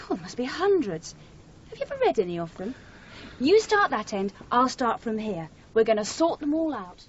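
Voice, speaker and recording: High-voiced perky young poet. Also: female voice, very animated. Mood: pushy. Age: young adult. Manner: chirpy, brisk, matter-of-fact. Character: perky, pushy, guileless young female would-be poet. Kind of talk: radio drama acting. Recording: muffled, noisy 1980s radio recording.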